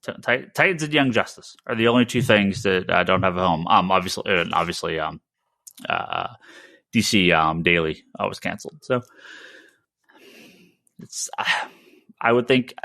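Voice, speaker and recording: Bright-voiced, low-pitched man, podcast host. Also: lively intonation, moderate pace, creaky, long pauses, dry recording, clean studio sound. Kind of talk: casual comics news discussion.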